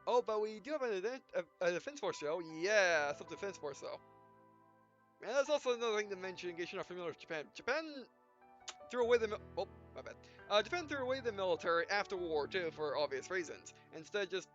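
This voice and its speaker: Dull lo-fi sound, woman, halting let's play narration, stumbling read-aloud, music behind. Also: medium pitch, very animated. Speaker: gaming video host. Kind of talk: let's play commentary, reading game dialogue aloud. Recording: dull, muffled lo-fi microphone. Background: game music.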